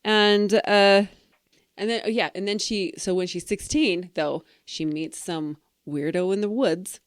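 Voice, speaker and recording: A woman's voice: medium pitch, unhurried, dry recording, studio quality. A woman co-hosting a podcast, chatting casually and playfully in a rambling, trailing-off way. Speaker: podcast co-host. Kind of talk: casual banter retelling fairy tales.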